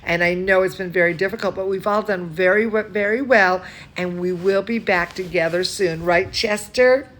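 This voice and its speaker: A woman telling bedtime stories and chatting cozily to young children in an expressive, soothing, singsong manner. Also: medium pitch, moderate pace, a little hiss or hum. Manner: chats playfully to a pet or companion. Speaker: dance studio teacher reading bedtime stories to children. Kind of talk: children's bedtime storytelling and cozy chat.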